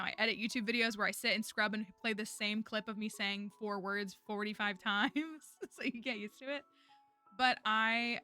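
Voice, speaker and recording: A woman's voice: medium pitch, pushed and bright, clean tone, dry recording. A young woman streamer, chatting casually and rambling with lots of fillers and restarts. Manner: rambling, filler-heavy, frequent restarts and laughs. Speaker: streamer and online teacher. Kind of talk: casual livestream chat. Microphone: headset or desk mic. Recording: music bed playing faintly underneath.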